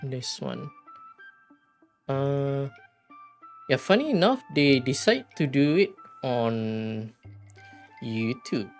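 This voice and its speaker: A game livestreamer chatting casually, rambling and halting while thinking aloud. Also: medium pitch, very animated, faint music behind. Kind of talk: casual gaming stream chat.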